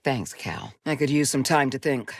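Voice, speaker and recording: A woman playing a wise, resolute Jedi mentor and resistance leader in a video game, speaking in a measured, solemn, commanding way. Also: very deep voice, animated, slightly rough, dry recording, clean studio sound, full character voice. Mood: calm, grave. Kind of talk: dramatic video game character acting.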